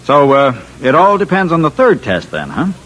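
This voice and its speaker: A man's voice: on the high side, huge pitch swings, moderate pace, a bit bright. An actor playing a confident, wry Earthman inventor in a 1950s radio drama, with a casual, cocky manner. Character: brash, confident Earthman inventor held by aliens. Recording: old-time radio recording.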